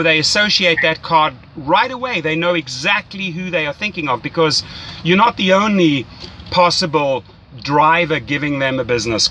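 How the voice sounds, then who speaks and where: A man's voice: fairly high pitch, pushed and bright, a little hiss or hum. A man giving casual, enthusiastic, salesy coaching as a rideshare mentor, often checking in with "right?". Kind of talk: casual coaching phone call.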